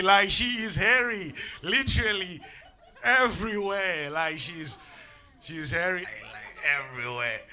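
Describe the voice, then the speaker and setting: A young man from Zimbabwe works a live comedy club crowd through a handheld mic, his voice sharp and ringing, sitting a bit high. He is animated, chuckles through his own lines and leaps into loud bits. Faint room noise hangs behind him. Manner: animated, breaks into shouted bits and laughs at own jokes. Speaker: stand-up comedian. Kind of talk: stand-up comedy set. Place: comedy club stage before a live audience.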